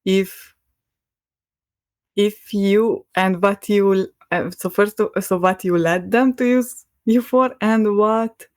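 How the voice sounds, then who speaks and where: A woman's voice: mid-range voice, steady tone, slow pace, a little mellow, no echo, studio quality. A young woman with a Hungarian accent, a podcast guest talking in a rambling, hesitant way and laughing often between thoughts. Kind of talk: casual conversation.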